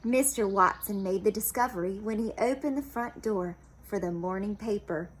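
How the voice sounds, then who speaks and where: A woman reading a children's storybook aloud expressively, pausing to explain things simply for young listeners. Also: mid-range voice, slightly rough.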